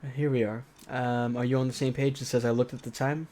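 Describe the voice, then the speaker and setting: Very low-voiced woman hosting a let's-play. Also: fast. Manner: playful, animated asides. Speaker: host of a gaming show. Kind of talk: casual let's-play chat and dramatic read-aloud of a visual novel. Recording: guitar game music behind the voice. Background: guitar game music.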